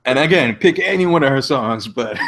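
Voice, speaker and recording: A man chatting casually as a podcast co-host, with a hesitant, halting delivery. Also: medium pitch, lively intonation, fairly bright tone. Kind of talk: casual comedic group chat. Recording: faint background noise, clicks.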